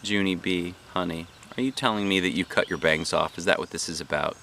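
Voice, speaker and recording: A man voicing a kind, concerned woman schoolteacher in a storybook, speaking in a gentle, coaxing manner. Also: low-pitched, a little fast, creaky, a little hiss or hum, exaggerated voice. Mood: tender concern.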